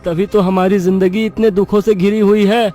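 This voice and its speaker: A man's voice: high-pitched, level tone, moderate pace, lightly acted. A man playing a humble, discontented villager who longs for a better life, in a cartoon story. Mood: plaintive. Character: humble, discontented male villager longing for success. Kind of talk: Hindi cartoon moral story dialogue. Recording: long reverb, loud music underneath.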